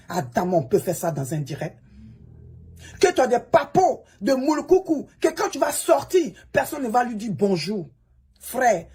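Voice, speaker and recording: A woman's voice: a little high, darkish tone, breathy edge. A woman, a social media coach who is an English speaker, giving a pleading, preachy advice monologue and repeating the listener's name. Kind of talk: advice monologue to a fellow creator.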